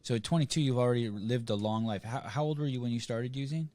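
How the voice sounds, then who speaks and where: A man's voice: medium pitch, quick pace, a little mellow. A man hosting a casual podcast interview over a video call, blunt and teasing, pressing his guest with probing questions. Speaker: podcast host.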